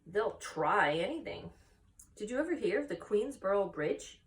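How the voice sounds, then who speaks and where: A wise female spider from a children's book, played by a woman reading aloud, frank but kindly. The delivery is instructive and very varied in pitch, recorded slightly away from the microphone. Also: very animated, normal speed, recorded from a distance. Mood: wry. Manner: instructive, matter-of-fact.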